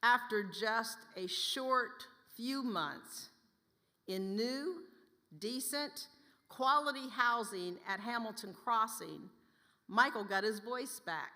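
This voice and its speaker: A woman's voice: fairly high pitch, takes it slowly, bright, forceful tone. A woman reading a scripted introduction as a presenter at an event. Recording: long hall reverb.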